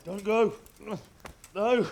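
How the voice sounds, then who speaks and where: A man's voice: high voice, very slow, darkish tone, slight background noise. A man playing a down-to-earth young lord's son in a game drama, talking naturally. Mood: distressed. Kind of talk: naturalistic game cutscene acting.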